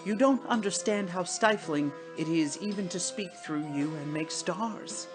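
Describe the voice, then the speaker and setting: A man's voice: sits high, unhurried, music in the background, pitched above the performer's own voice. A woman voicing a prim, irritable man who has newly died, protesting in a stammering, indignant way as a storybook character. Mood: exasperated, earnest.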